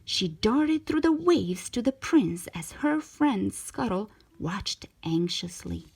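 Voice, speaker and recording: Female storybook narrator for young children, slow and lively, with a slightly breathy edge. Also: medium pitch. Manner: expressive, measured storytelling for children. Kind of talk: scripted storybook narration, read aloud.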